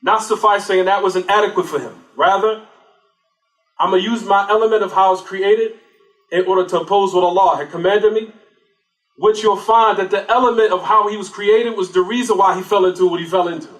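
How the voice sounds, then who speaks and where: A man's voice: high voice, fairly even intonation, brisk, a bit bright. A man giving a religious lecture, often asking rhetorical questions and then answering them himself. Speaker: Islamic lecturer. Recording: echoey room, distant mic.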